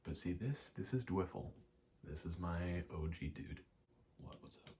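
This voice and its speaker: A man rambling casually in a soft ASMR style over video game play, often slipping into a whisper and thinking aloud in short, halting pieces. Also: sits low, lively intonation, slight background noise. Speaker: ASMR creator, gamer; actor and stage combatant.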